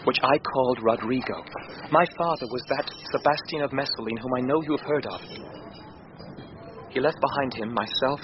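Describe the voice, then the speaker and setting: An actor playing a courteous, grieving young gentleman in a classical Shakespearean radio play, with formal, earnest delivery. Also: medium pitch, close to an everyday voice. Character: courteous, melancholy young gentleman grieving his drowned sister. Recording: muffled radio drama recording.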